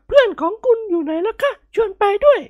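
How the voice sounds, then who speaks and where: Plump middle-aged matron, storybook comedy: very high, effusive, coy, flirtatious. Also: female voice, lively intonation, fast, a little mellow, dry recording, studio quality. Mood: delighted, coaxing. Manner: polite, effusive, coy feminine speech. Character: plump, gushing middle-aged society lady, flirtatious and insistent.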